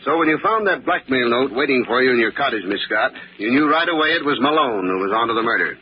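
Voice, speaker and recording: An actor playing a skeptical police inspector in an old radio drama, blunt and probing. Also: male voice, medium pitch, lively intonation, fast talker, close to an everyday voice. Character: brisk, skeptical male police inspector interrogating. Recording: muffled old radio recording, light noise.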